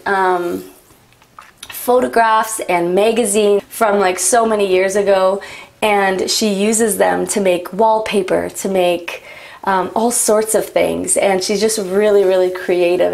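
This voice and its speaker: Raspy-voiced young woman chatting casually. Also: medium pitch, a little mellow. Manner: rambling, frequent "like" and "um". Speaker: beauty vlogger. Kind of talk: chatty get-ready-with-me talk. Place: home, doing makeup.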